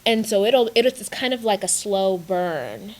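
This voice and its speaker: A woman's voice: medium pitch, lively intonation, measured pace, a little mellow, rough recording. A woman from Uganda, raised in the States, talking earnestly as a coaching guest in an interview and drawing out her key words. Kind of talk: interview conversation.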